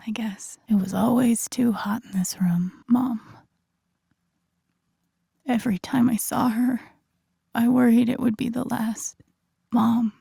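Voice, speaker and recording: A young woman in a video game, quietly turning over a hard past. Her voice is soft and husky, often breathy, with a gravelly fry. She speaks in a subdued, confiding inner monologue, recorded very dry. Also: on the high side, dry recording, full character voice. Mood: uneasy, reflective, then sad, regretful. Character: pensive, wounded young woman recalling a hard childhood.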